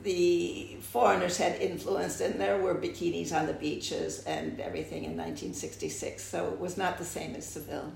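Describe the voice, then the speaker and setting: An older American woman with a deep, gravelly, creaky voice, slightly low for a woman. She tells her story in a reflective, halting way, restarting her phrases often. Her voice sounds roomy and echoey. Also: animated, a little mellow, slight background noise. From United States. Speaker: interviewee. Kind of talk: oral history interview. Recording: echoey room.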